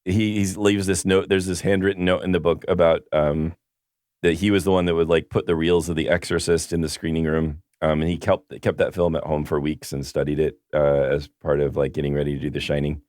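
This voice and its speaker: A man co-hosting a casual film podcast, rambling through a story with many restarts, his voice going hoarse. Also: very deep voice, level tone, brisk, darkish tone, dry recording. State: losing his voice. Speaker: podcast co-host.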